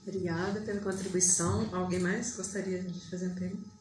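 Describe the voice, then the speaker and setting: A woman's voice: fairly low pitch, fairly even intonation, brisk. A woman moderating a public debate, courteous and brief as she hands the floor on. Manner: courteous, brief turn-giving. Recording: echoey room with faint music.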